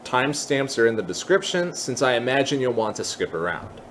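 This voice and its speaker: A man's voice: mid-range voice, big pitch swings, fairly quick, a bit bright, slight background noise. A man narrating a tutorial in a conversational, explanatory way. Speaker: tutorial presenter.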